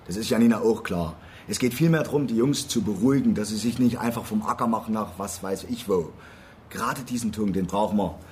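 A man's voice: on the low side, fairly quick, a little mellow, a little hiss or hum, lightly acted. An actor playing a principled, sceptical male activist in a radio drama, casual and dry, correcting his partner.

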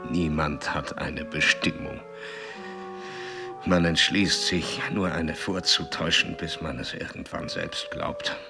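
An old, disillusioned explorer-scholar in a radio play. His deep, creaky voice delivers each phrase like an oration, with weighty pauses. It rings in a long hall reverb. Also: music in the background, exaggerated voice. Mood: weary, resigned. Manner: oratorical, deliberate, with weighty pauses. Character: aged male explorer and scholar, solitary, disillusioned, reflective. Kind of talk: German radio play acting.

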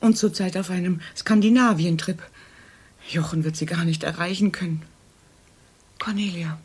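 An actress playing a shaken, guarded middle-aged woman in a radio crime drama, halting and half-whispering. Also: on the low side, darkish tone, slightly rough. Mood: shaken, remembering. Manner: halting, faltering.

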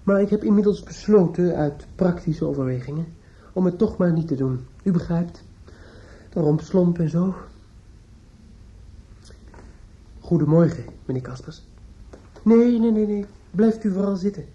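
A dull, lo-fi radio play recording of a male actor as a despairing judge's son with a fairly high, very soft-edged dark voice. He is obsessive and brooding, keeping a polite surface over his bitterness. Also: natural voice. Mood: icily polite. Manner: halting and hesitant with others, brooding and repetitive when alone. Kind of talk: radio drama acting with interior monologue.

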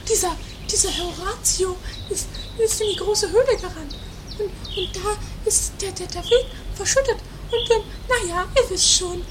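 A performer playing a stubborn, defiant, halting character in an improvised trash horror-comedy radio play. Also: very high-pitched. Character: squeaky, defiant, stubborn character in a gruesome farce. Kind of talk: improvised 1980s trash audio drama. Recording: noisy lo-fi 1980s amateur recording.

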